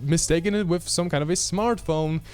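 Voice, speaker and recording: A man's voice: on the high side, normal speed, darkish tone, clean tone, faint music behind. A man in his twenties with a Polish accent, reviewing a product casually to camera with informal, wry asides. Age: 20s. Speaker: video host, product reviewer. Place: small indoor room. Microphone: handheld microphone held near the chest.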